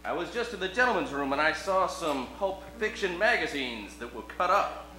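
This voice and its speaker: Man playing a high-pitched, animated detective hero. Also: normal speed. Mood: confiding. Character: earnest, suspicious pulp detective hero, a man. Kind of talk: comedic old-time radio play acting. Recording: roomy live stage, music behind.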